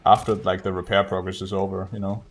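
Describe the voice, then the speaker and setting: A man giving casual live commentary on a game as a streamer, explaining things in a low-key way. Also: flat delivery, fast. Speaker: streamer speedrunner. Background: game music.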